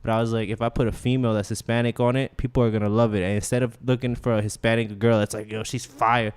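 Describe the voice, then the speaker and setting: Animated young rapper in his twenties. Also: mid-range voice, quick pace, clean tone. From Queens, New York. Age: 20s. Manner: animated, acts out quotes, restarts often. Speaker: rapper guest. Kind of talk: casual interview chat. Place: studio.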